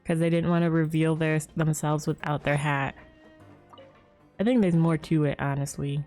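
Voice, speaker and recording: A woman's voice: sits low, steady tone, normal speed, warm tone, faint music behind. A woman game streamer giving casual, giggly livestream commentary, reacting aloud to the game.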